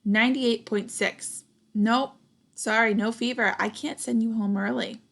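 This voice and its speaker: A young woman reads a storybook to children at an unhurried pace, with noticeable vocal fry. Her delivery is expressive and deliberate, and she adds little comments and questions for the kids. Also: on the high side. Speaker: storybook reader, teacher. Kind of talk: children's picture book read-aloud.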